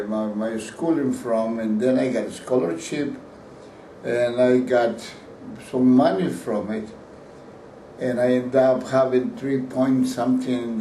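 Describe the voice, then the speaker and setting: Older man, from Peru, Spanish first language, rambling interview answers, warm mellow tone, heard from across the room. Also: mid-range voice. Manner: rambling, with restarts and repeated words. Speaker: painter being interviewed. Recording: roomy, distant mic, faint background hiss.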